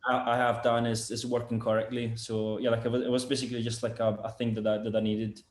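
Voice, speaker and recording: Guest: man, mid-pitch, loose rambling delivery full of fillers, echoey room. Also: level tone, fast talker. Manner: rambling, many fillers and restarts. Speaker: sound engineer and product developer, guest. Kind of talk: casual interview chat. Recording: roomy echo.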